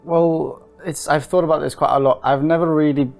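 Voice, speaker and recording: A man in his twenties, interviewed about his life, speaks in a calm, unhurried way with frequent hesitations. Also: fairly high pitch, vocal fry. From Wales and Norway. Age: 20s. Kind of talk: casual personal testimony.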